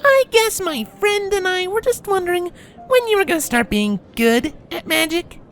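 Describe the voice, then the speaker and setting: Man doing a very high, whiny schoolgirl pony. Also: lively intonation, normal speed, fairly bright tone, slight background noise. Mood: taunting, whiny. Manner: sneering, irritating whine. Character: taunting, bratty schoolgirl unicorn filly bully.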